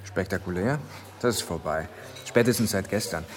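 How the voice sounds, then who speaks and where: An actor playing a cynical big-city journalist in a radio drama, wry and blunt in a colloquial way. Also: male voice, sits low, normal speed, lightly acted. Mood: wry, confiding. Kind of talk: naturalistic German radio drama dialogue. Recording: music bed behind the voice.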